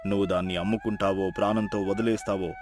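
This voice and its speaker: A man's voice: lowish voice, fairly even intonation, fast, lightly acted. A man playing a grave, benevolent river god, giving solemn pronouncements in a moral folktale. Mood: grave, revealing. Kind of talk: animated moral folktale acting. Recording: music behind.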